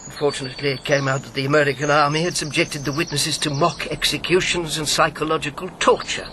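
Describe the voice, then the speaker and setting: An actor playing an urbane, needling elderly former secret agent in a radio drama, articulate and teasing. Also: male voice, on the high side, flat delivery, quick pace, bright, forceful tone, slight background noise. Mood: grave, informative. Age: 70s or older.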